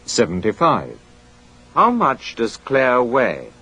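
A man reading a scripted language course drill in a clear, measured way. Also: medium pitch, huge pitch swings, sharp tone.

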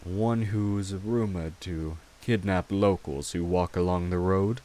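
A man voicing a courtly, protective vampire king in an ASMR roleplay, gentle and wry, speaking formally and reassuringly to the listener without hurry. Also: low-pitched, steady tone, slow. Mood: teasing, concerned.

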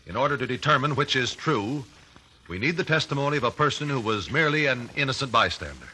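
An actor playing a polite, formal courtroom examiner with pointed questions, in 1950s radio drama style. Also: male voice, mid-range voice, expressive, fast talker, pushed and bright. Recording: noisy 1950 radio broadcast recording.

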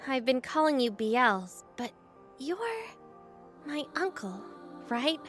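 A woman's voice: high-pitched, animated, takes it slowly, fairly bright tone, faint music behind, full character voice. A woman playing an earnest, confident young princess in a video game, with a direct, spirited manner. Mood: hesitant. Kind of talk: video game cutscene dubbing.

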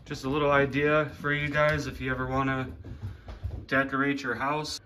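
A man, casually showing off his living room decor on a phone camera in a plainspoken, relaxed way. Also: medium pitch, normal speed, slight background noise. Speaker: fishing channel host. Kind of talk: casual show-and-tell vlog. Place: living room at home, indoors. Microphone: handheld phone camera.

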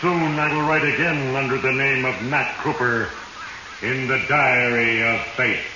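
An actor playing Fate, an ominous, heavy-handed narrator gloating over doomed men in a 1940s radio drama. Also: male voice, mid-range voice, steady tone, measured pace, lightly acted. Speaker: host narrator. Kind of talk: 1940s radio acting, second-person narration. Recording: muffled, noisy, echoing 1940s radio recording.

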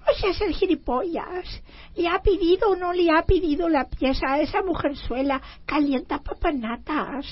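Woman acting high-pitched, indignant immigrant tenement woman. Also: moderate pace, highs cut off. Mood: angry. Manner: scolding, exclamatory. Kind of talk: comic stage radio play acting.

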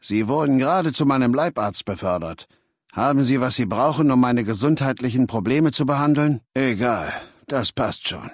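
An actor playing a commanding, arrogant warlord in a video game, speaking in a measured, authoritative and condescending way. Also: male voice, mid-range voice, expressive, dry recording, studio quality, close to an everyday voice. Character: commanding, arrogant male warlord, cold and imperious, ailing. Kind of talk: acted video game dialogue.

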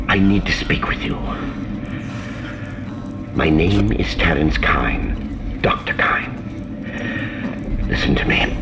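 A man playing a desperate, haunted doctor in a video game, grave, deliberate and pleading. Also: low-pitched, noisy background, full character voice.

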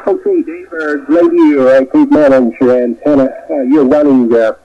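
A man with a Japanese accent making a polite, halting amateur radio contact, heard over shortwave. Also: on the high side, fairly even intonation, crowd noise. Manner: halting, polite, formal courtesies. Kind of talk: radio contact chat, phonetic spelling. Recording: dull, noisy shortwave radio reception.

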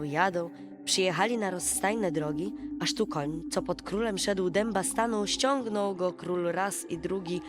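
A woman storyteller reading a bedtime fairy tale in an expressive, dramatic, folksy way. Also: on the low side. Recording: music behind the voice. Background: music.

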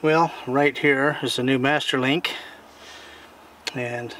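A man's voice: mid-range voice, fairly even intonation, slow pace, a bit bright. An older man hosting a homesteading channel, casually explaining chainsaw repair in a folksy, rambling, plain-spoken way while working in his backyard. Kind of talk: casual how-to demonstration. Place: outdoor backyard workspace. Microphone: camera mic from a distance. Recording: distant outdoor mic with noise.

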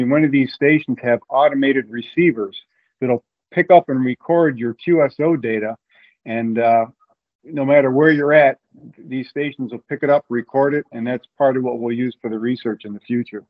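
American man on a phone-like call. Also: medium pitch. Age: middle-aged. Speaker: interview guest, amateur radio event coordinator. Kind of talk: casual explanatory interview answers. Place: room at home, indoors. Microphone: video call with earbuds. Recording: narrow, phone-like video call audio.

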